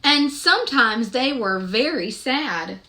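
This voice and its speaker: A woman reading a picture book aloud to children in an expressive, deliberate way, pausing to ask her young listeners questions. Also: fairly high pitch, slight background noise.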